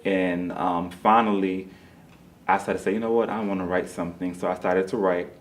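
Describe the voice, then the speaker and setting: A man with a very low voice speaks as an interview guest. He tells his story earnestly and casually, doubling back on his words as he goes. There is some noise behind him. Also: steady tone, normal speed. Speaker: interview guest, playwright and director.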